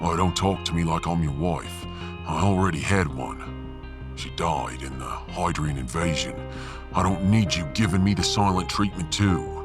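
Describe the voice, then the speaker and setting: A man voicing a rough-hewn, remorseful handyman, halting and plain-spoken, in a sci-fi audiobook. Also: low voice, natural voice. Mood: frustrated. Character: big, remorseful, rough-hewn Australian refugee handyman, former miner. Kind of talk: sci-fi audiobook character acting. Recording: music behind the voice.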